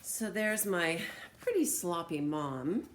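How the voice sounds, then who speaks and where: Low-pitched American woman, noisy recording. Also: sing-song, takes it slowly. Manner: encouraging, conversational. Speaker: teacher. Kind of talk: informal read-aloud and craft demonstration for students.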